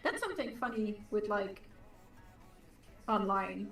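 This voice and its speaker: A woman artist whose first language is not English, chatting and teasing in a relaxed way on a stream. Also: mid-range voice, a little slow, echoey room, recorded from a distance, faint music behind. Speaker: artist, co-host. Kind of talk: casual chat on a livestream.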